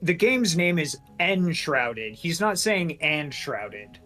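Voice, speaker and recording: Man, podcast co-host, slightly high voice, chatty, stumbles and restarts. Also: sing-song, takes it slowly, pushed and bright. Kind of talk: casual podcast chat.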